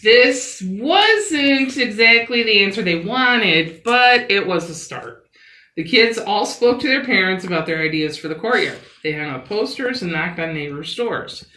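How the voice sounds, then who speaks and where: A woman reading a picture book aloud expressively, with dramatic pauses and emphasis. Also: medium pitch, animated, pushed and bright. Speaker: children's story reader. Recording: roomy, echoing sound.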